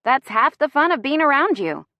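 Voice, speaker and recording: A woman's voice: high voice, sing-song, moderate pace, no room sound, highs cut off, voice pushed up. A woman voicing a young woman who is a blunt, playful and supportive best friend in a novel. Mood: teasing.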